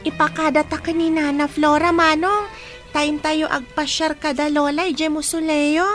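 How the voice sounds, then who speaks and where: A woman's voice: sits high, little pitch movement, moderate pace, a bit bright, soft background music. A woman with a Filipino accent playing a polite, eager young village girl in a radio comedy drama.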